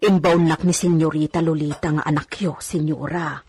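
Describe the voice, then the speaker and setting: A woman acting a humble, deferential, hesitant woman in a radio drama, with a husky voice. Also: low-pitched, fairly even intonation, moderate pace, mellow, exaggerated voice. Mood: sheepish.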